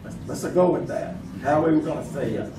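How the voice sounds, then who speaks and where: A man plays a cautious, wry contestant who teases his partner between guesses. His mid-range voice moves about with a lively rise and fall. It sounds distant in an echoey theater with audience noise. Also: on the high side, a little fast, natural voice. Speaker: game show contestant in a stage play.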